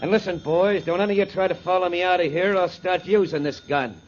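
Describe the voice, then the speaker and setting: A man's voice: high voice, fast talker, lightly acted. Guy playing a cocky polite holdup man, bossy and taunting, old radio show, dull hissy sound. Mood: smug, threatening. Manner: commanding, taunting. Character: cocky masked stick-up man, smugly polite gangster. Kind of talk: 1940s radio crime drama acting. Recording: noisy muffled 1940s radio recording.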